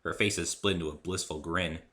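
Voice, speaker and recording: A man reading a first-person story aloud in an expressive, theatrical amateur narration. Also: low-pitched, a little fast. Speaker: amateur reader of own story.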